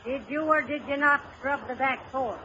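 Bossy sharp-tongued wife in an old radio comedy, scolding, muffled hissy recording. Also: female voice, high voice, normal speed. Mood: stern scolding. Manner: scolding, pointed, dry sarcasm. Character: sharp-tongued, no-nonsense, nagging housewife. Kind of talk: 1930s radio comedy acting.

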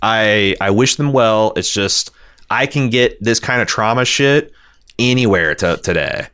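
A man with a fairly low voice hosts a casual discussion podcast. He thinks aloud, rambling and doubling back on his words as he works through an opinion or reads out feedback. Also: expressive, fairly bright tone, clear, resonant tone. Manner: halting, rambling, repeats words as he reasons. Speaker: podcast host reading listener feedback.

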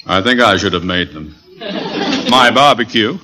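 A man's voice: lowish voice, expressive, moderate pace, lightly acted. A man playing a grumpy, blustering middle-aged husband in an old radio comedy, exasperated and sardonic. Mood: grumpy, disgusted. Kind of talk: 1950s radio comedy acting. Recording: old radio recording, faint noise.

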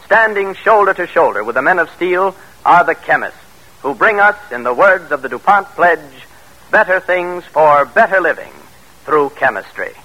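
American guy doing old 1940s radio announcing, forceful and a bit raspy, measured emphatic delivery. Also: on the high side, expressive, low-quality recording. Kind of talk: 1940s radio scripted narration. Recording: vintage radio broadcast.

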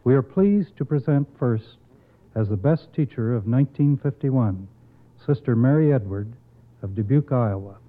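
A man delivering a formal, measured prepared speech as an awards committee spokesman. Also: mid-range voice, animated. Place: radio broadcast. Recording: muffled phone-like old radio recording.

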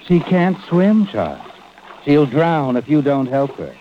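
A man's voice: a little high, big pitch swings, unhurried, a little hiss or hum. An actor as an ominous, knowing narrator of an old-time radio mystery, insinuating and taunting as he addresses the listener. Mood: sinister, knowing. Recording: dull old radio recording.

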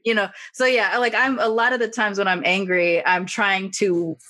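A woman hosting a podcast interview, talking openly and reflectively, often laughing softly. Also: medium pitch, flat delivery, normal speed. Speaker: podcast host. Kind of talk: candid conversational interview.

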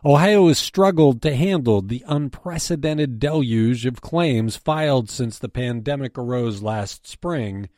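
A man's voice: mid-range voice, expressive, unhurried, few pauses, a little mellow, dry recording, studio quality. A man with an American accent reading a scripted news briefing as its host and editor.

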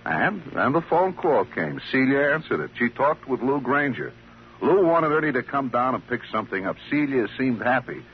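An actor playing a melancholy, wry American small-time comic in an old radio drama, theatrical and self-pitying. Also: male voice, mid-range voice, very animated, moderate pace, natural voice. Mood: wry, reluctant. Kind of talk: 1950s radio drama acting. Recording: muffled, slightly noisy old radio recording.

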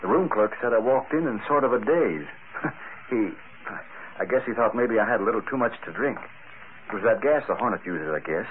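A man's voice: on the low side, animated, brisk, narrow, tinny audio, natural voice. Hesitant, shaken man played by an actor, old radio. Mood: explaining. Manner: hesitant, explaining. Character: shaken, rambling male kidnap victim. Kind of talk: old-time radio drama acting. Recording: thin old radio recording, slight noise.

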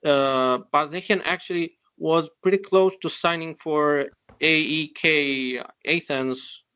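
A young man with a smooth, slightly raised voice chats casually about football on a podcast, trailing off and restarting his thoughts. The sound is dull and lo-fi. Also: on the high side, steady tone, slow, sharp tone. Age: young adult. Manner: easygoing, hesitant with fillers. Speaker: podcast co-host. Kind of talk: casual sports chat. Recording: dull lo-fi audio.

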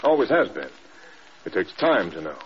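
A man's voice: deep voice, big pitch swings. An American man acting a stern, burdened air force general in a mid-century radio drama, with reserved military speech. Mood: weary, firm. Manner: halting, reserved, clipped military talk. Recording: dull old radio recording with noise.

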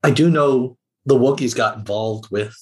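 A man with a medium-pitched voice talks as a guest on a casual podcast. He muses aloud, haltingly, feeling his way through his thoughts. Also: a little mellow.

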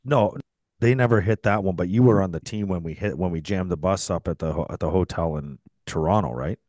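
A very deep-voiced man co-hosts a casual podcast, speaking bluntly and matter-of-factly. His short interjections sound close and dry. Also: a little fast, a little mellow, no room sound. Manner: blunt, matter-of-fact, chimes in briefly. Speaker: podcast co-host, former hockey player. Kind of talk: casual chat.

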